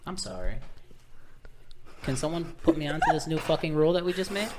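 A woman's voice: low voice, very animated, brisk, noisy background. A woman in her twenties hosting a podcast, teasing her friends in loose, profane banter. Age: 20s. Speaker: podcast host. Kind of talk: casual chat.

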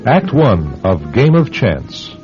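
A low-voiced man announces a radio suspense drama, very slow and portentous. His measured delivery sits over clear music on a slightly dull recording. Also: very animated.

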